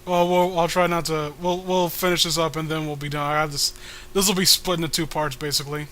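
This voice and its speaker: A man's voice: fairly high pitch, steady tone, fairly quick, pushed and bright, a little hiss or hum. A man giving casual, joking commentary as co-host of a comic reading.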